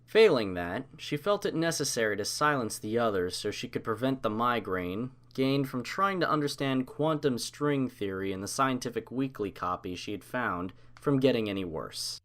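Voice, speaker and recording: Male storybook narrator, middle pitch. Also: animated, normal speed, hardly any pauses, fairly bright tone.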